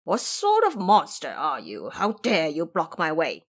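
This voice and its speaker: Woman as a blustering male boar monk, very animated. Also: deep voice, measured pace, husky, pitched below the performer's own voice, exaggerated voice. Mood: indignant. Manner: blunt, self-important, indignant. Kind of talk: audio drama acting.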